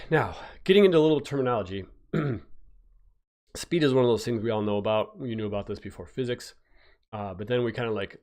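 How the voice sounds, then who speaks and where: A man's voice: fairly low pitch, darkish tone. A man who is a physics teacher, giving a casual live-streamed exam review while stuffy from a cold, rambling with fillers and restarts. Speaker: high school physics teacher. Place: home, recording a YouTube live stream.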